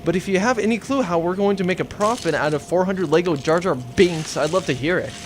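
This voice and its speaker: A man's voice: high-pitched, a little fast, slight background noise, lightly acted. A man playing an exasperated, sarcastic spaceship crew member in a comedic audio drama, dry and grumbling.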